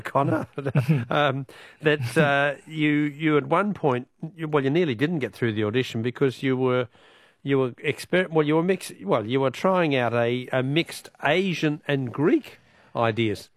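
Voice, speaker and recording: A man's voice: mid-range voice, unhurried, no room sound. A man hosting a chatty evening radio interview in a jovial, teasing manner. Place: radio studio.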